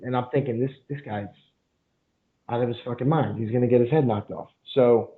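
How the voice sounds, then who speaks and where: Male guest, middle-pitched, rambling conversational storyteller, narrow phone-quality line. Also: brisk. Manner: rambling, frequent fillers and profanity. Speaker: podcast guest, jiu-jitsu instructor. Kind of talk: casual storytelling interview.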